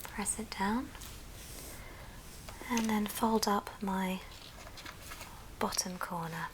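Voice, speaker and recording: Slow whispering woman over noticeable noise. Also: medium pitch. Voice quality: breathy unvoiced whisper. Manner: slow, gentle step-by-step instruction. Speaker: ASMR craft host giving a tutorial. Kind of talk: whispered ASMR craft tutorial. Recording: noisy background, handling clicks.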